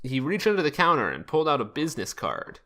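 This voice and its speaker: A man hosting a podcast, reading fan fiction aloud in a jokey, deliberate way with frequent asides. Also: on the high side, wildly swooping pitch, bright, forceful tone. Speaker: podcast host.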